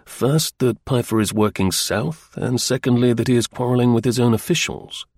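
A man voicing a shrewd, unflappable intelligence officer in an audiobook, speaking calmly, dryly and deliberately. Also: mid-range voice, breathy edge, no room sound. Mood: musing, shrewd. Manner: calm, dry, deliberate.

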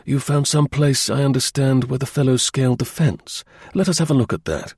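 A man voicing a brisk, observant gentleman detective in a storybook, incisive, courteous and authoritative. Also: medium pitch, slightly rough, no room sound, studio quality. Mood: probing, brisk.

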